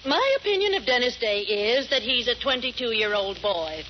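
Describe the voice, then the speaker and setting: A woman's voice: on the high side, animated, moderate pace, natural voice. An actress playing a stern, curt, middle-aged landlady full of disapproval in a 1940s radio comedy. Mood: curt disapproving. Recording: hissy, muffled 1940s radio recording.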